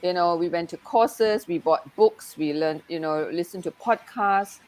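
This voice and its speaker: A woman's voice: on the low side, unhurried, a little mellow, low-quality recording. A woman who grew up in Malaysia, a coach talking in a casual, reflective way and often saying "you know". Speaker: NLP coach. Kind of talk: casual conversation.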